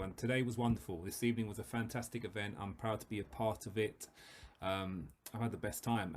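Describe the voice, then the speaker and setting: A man's voice: fairly low pitch, slight background noise. Upbeat man hosting a book event. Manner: upbeat, grateful, thanking everyone. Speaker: event host. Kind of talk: closing remarks at an online book event.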